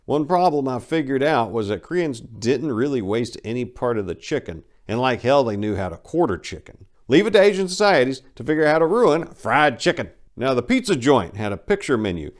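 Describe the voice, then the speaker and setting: A man narrating a first-person memoir as a dramatic reading, in a brash, wry, colloquial storytelling manner. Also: medium pitch.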